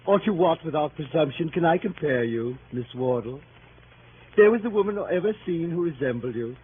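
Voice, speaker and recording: An actor playing an amorous gentleman suitor who pleads in florid, theatrical speeches, in 1930s radio style. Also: fairly high pitch, expressive, normal speed, lightly acted. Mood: adoring. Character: amorous, flowery middle-aged gentleman suitor. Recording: tinny, noisy 1930s radio recording.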